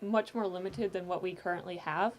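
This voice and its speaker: A woman guest on a podcast, casually explaining the background of her audio drama in a measured way. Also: on the low side, fairly even intonation. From Texas. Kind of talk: casual chat.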